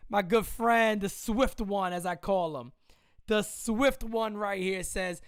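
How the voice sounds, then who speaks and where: An excitable man hosts a live stream. He drops to a mutter and then bursts into yelling. His voice sounds strained from the shouting. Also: high voice, slow pace, smooth. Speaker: live-stream sports show host. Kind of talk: casual live-stream commentary.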